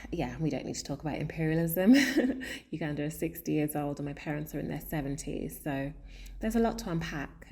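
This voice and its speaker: Low-voiced woman, thoughtful. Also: dark tone. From South London. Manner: thoughtful and earnest, pausing often with fillers. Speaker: comics artist. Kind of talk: personal artist statement to camera.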